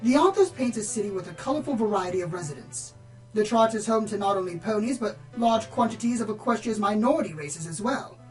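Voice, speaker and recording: A woman's voice: medium pitch, darkish tone, lightly acted, low-quality recording. A woman playing a bookish, slightly prim pony book reviewer in a radio play, speaking formally and articulately. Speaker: on-air book presenter.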